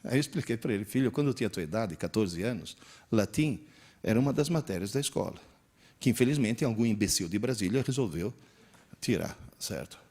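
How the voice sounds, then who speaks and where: A man in his sixties giving a lively lecture, telling stories, joking and leading the audience in exercises. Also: fairly low pitch, fairly quick. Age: 60s. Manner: animated storyteller, joking, coaxing the audience.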